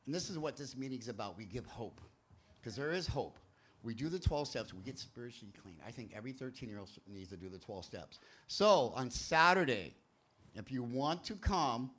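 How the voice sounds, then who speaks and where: A man's voice: mid-range voice, fairly bright tone. A man hosting a church recovery meeting from a stage. He talks in a loose, rambling, jokey way with sudden bursts of emphasis. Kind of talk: energetic sermon-like talk to a crowd. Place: church hall, speaking from a stage.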